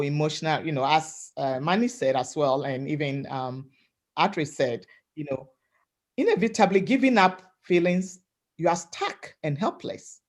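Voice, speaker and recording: A woman from Africa speaking on a webinar panel, giving an emphatic motivational talk in a loud voice over a video call and often asking "right?". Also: low-pitched. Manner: loud voice, emphatic, repeats "right?" and "you know".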